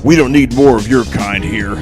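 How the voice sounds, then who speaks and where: A man's voice: mid-range voice, moderate pace, lightly acted. A man playing a threatening human animal catcher in a visual novel. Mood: menacing. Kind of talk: visual novel character acting. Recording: music clearly behind the voice.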